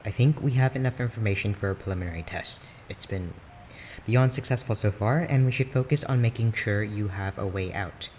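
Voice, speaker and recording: A man acting a reserved, clinical research doctor in an audio drama, formal, measured and dryly blunt. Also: on the low side, a little hiss or hum, lightly acted. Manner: formal, measured, clipped, deadpan.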